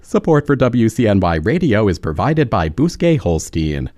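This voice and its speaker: A man reading a scripted sponsor message as a radio announcer, in a crisp, read-aloud way. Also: fairly low pitch, sing-song, normal speed, a little mellow, no room sound, studio quality, faint music behind.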